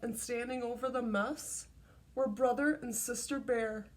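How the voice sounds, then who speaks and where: Female kindergarten storyteller: high voice, slow pace, expressive read-aloud delivery. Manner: deliberate, exclaiming, prompting young listeners. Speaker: teacher reading to kindergartners.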